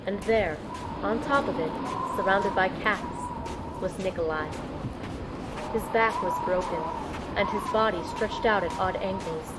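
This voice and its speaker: A woman's voice: medium pitch, very noisy, lightly acted. A young woman playing a determined field medic and operative, narrating her mission in the first person for an audio drama. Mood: hushed, sombre.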